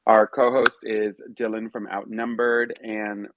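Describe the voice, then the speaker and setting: A man's voice: fairly low pitch, little pitch movement, unhurried, muffled recording, noisy background. A young man from Miami hosting a casual live audio chat, upbeat and chatty, with many fillers. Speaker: host.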